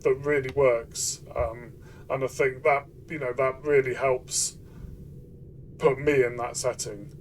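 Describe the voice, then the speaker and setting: A man's voice: medium pitch, fairly even intonation, slow, distant mic, slight background noise. A man co-hosting a casual game review, talking conversationally and hesitantly, repeating phrases as he builds his point. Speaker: co-host of a game review show.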